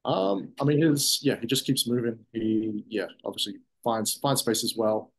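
A man's voice: mid-range voice, vocal fry, low-quality recording. A man in his thirties, a soccer defender, answering postgame press questions over a video call, stopping and restarting his sentences often. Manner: halting, many restarts and fillers. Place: press room in front of sponsor backdrop.

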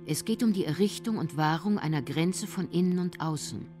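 A woman's voice: lowish voice, moderate pace. A woman reading scripted, essayistic radio narration. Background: music.

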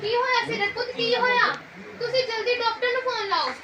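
A woman's voice: very high-pitched, fairly even intonation, a little fast. A young female performer playing a nagging, worried Punjabi mother in a stage play. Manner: nagging, repeating. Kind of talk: school stage play acting. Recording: echoey stage, distant mic, clear noise.

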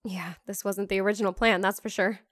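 A woman's voice: medium pitch, steady tone, a little fast, dry recording, clean studio sound, close to an everyday voice. Protective, wry young woman, played by an actress. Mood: concerned. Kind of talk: fan audio drama acting.

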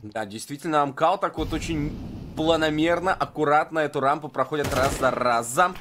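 A man's voice: fairly high pitch, moderate pace, background noise. A man giving live play-by-play of a video game match as an esports commentator, conversational and thinking aloud.

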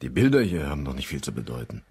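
An actor playing a gruff, sardonic police inspector with a gravelly voice in a German crime radio drama. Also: male voice, extremely low pitch, very animated, fast talker, a little mellow, exaggerated voice. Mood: matter-of-fact. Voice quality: gravelly, husky.

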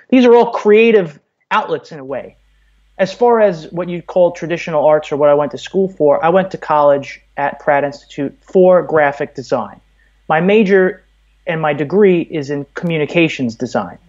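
A man's voice: fairly high pitch, a little mellow, dull audio. A man from Brooklyn, telling stories conversationally as a podcast guest. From Brooklyn, New York, USA. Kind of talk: conversational interview, storytelling.

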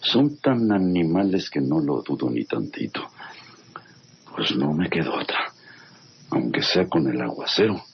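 An actor playing a suspicious, blustering treasure hunter muttering to himself in a radio drama, with a Mexican accent. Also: male voice, a bass voice, expressive, unhurried, vocal fry, full character voice. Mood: scheming. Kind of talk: radionovela monologue. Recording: muffled, hissy old radio drama recording.

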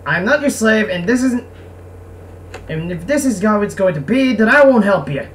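A woman's voice: mid-range voice, expressive, moderate pace, lightly acted. A woman voicing a proud, touchy merchant craftsman in a visual novel, with formal, emphatic delivery. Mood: indignant. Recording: noisy, distant microphone.

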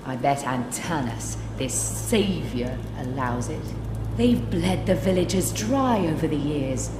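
Low-voiced, scornful adventuress. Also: female voice, lively intonation, takes it slowly, background noise. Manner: sardonic, bitter, assertive. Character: proud armoured adventuress, scornful and secretive. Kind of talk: fantasy game cutscene acting. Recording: echoey hall with music underneath.

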